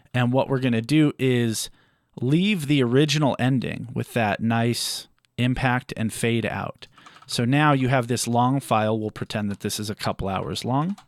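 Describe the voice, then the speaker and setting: A man narrates a step-by-step software tutorial. His voice sits at an average male pitch and he explains things in a measured manner. The recording is close and dry, with hardly any room sound. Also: dry recording. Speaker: audio tutorial presenter.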